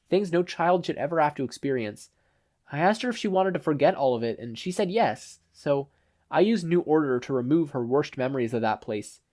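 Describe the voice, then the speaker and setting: A man's voice: on the high side, darkish tone. A man voicing an earnest, remorseful teenage boy hero in a story reading.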